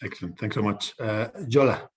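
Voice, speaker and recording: A man's voice: on the low side, fairly quick. A man teaching an online class, speaking informally and directly as he guides his students. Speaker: course instructor.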